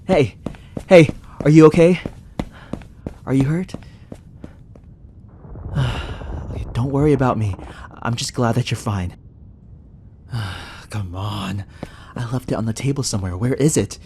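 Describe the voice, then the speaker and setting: A man's voice: mid-range voice, unhurried, pauses often, mellow, a little hiss or hum, close to an everyday voice. A young man playing a flustered, protective barista who is secretly a time agent, in an ASMR sci-fi roleplay, speaking with stammers. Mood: urgent, alarmed. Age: young adult. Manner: stammering, breathy sighs and nervous laughs. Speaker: roleplay actor.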